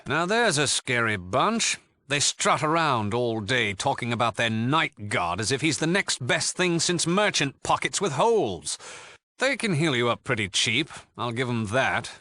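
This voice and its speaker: An actor playing a cheeky, worldly British gang leader in a video game, wry and flippant. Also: male voice, medium pitch, very animated, moderate pace, bright, forceful tone, no room sound, clean studio sound. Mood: wry, scornful. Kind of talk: video game character dialogue.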